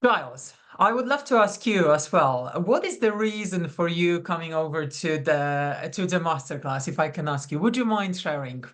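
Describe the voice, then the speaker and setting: A woman's voice: on the low side, animated, moderate pace, hardly any pauses. A woman from Poland, a coach leading a live online masterclass in a chatty, welcoming way over a video call. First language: Polish. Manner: welcoming, chatty, greets attendees by name. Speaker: psychonutrition coach.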